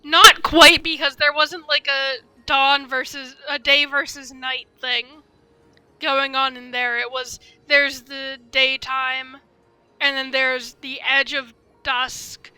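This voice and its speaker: Female live game commentator, high voice, rambling fan musings, faint music underneath. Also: flat delivery, measured pace, sharp tone, clean tone, no echo. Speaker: game streamer.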